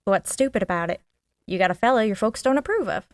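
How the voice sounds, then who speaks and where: Woman as sly nosy maid in a 1920s radio play, folksy straight talker, middling pitch, dry sound. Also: expressive, quick pace, dry recording, close to an everyday voice. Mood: frank, probing. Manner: folksy, plain-spoken. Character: frank, nosy working-class maid, sly confidante. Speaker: actor. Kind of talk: 1920s period audio drama acting.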